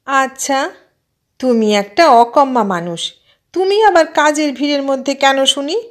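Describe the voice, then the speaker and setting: A woman's voice: sits high, lively intonation, a little slow, pitched above the performer's own voice. A woman voicing an older housewife in an audio story, a boastful, complaining aunt who scolds and belittles her husband. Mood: scolding, exasperated. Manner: complaining, self-important, emphatic scolding.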